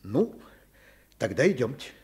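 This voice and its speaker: An actor playing a kindly, selfless, fatherly doctor in a radio drama, gentle but determined, with a husky voice. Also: mid-range voice, sing-song, measured pace, heavily acted. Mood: reassuring. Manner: gentle, repeats words reassuringly.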